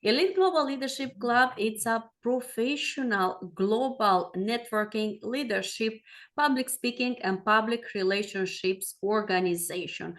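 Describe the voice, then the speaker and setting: A woman's voice: on the high side, unhurried, a bit bright. A woman hosting a talk show, introducing her guest in a formal, effusive way. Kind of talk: interview hosting.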